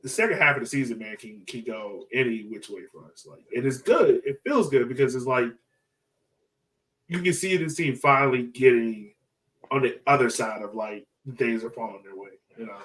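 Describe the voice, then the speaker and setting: A man hosting a casual soccer fan podcast, talking in an animated, slangy way. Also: mid-range voice, moderate pace, far from the mic, rough recording. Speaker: sports podcast host.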